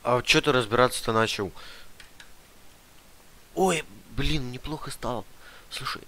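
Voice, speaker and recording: A man's voice: mid-range voice, a little slow, sharp tone. Pushy young man, game noise behind. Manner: pushy, demanding, mock-official bluster. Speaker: gamer streamer playing a detainee in an online roleplay server. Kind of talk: in-character roleplay chat over voice chat. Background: clear noise and game car sounds.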